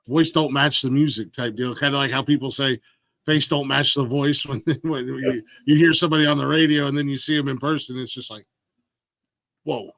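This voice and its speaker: A man's voice: medium pitch, creaky, fairly bright tone, no room sound, dull audio. Middle-aged male podcast host, casual, chatty, dry close recording. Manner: chatty, laughs easily, restarts questions. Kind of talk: casual interview chat.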